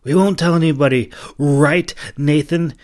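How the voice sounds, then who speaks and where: Man voicing an excitable, higher-pitched young stable boy. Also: measured pace, natural voice. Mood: eager. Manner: urgent, stammering, pleading.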